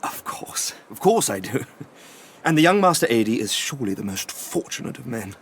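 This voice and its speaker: An actor playing an educated, power-hungry doctor in an audio drama, speaking with formal, precise and menacing politeness. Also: male voice, mid-range voice, big pitch swings, fairly quick, slight background noise. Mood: courteous.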